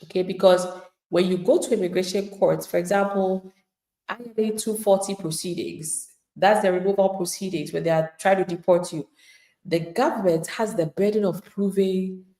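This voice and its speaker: Emphatic woman lawyer, mellow echoey sound. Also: lowish voice. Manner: emphatic, plain-spoken, addresses viewers directly; acts out quoted replies of people she describes. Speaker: immigration attorney. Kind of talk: live-streamed legal advice talk.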